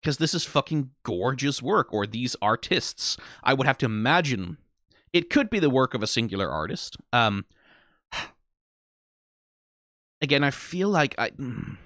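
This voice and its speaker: A man giving off-the-cuff reaction commentary, informal and emphatic with casual swearing, rambling and halting as if short on sleep. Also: mid-range voice, big pitch swings, fairly bright tone, no echo, clean studio sound. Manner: rambling, halting, trailing off mid-thought. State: tired after being awake for twenty hours. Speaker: host of a reaction video.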